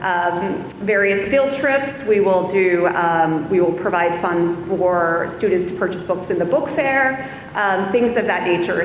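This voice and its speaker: A woman giving an informal informational presentation to an audience as a volunteer parent group leader. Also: lowish voice. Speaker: parent-teacher organization chair. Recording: very echoey hall, background noise.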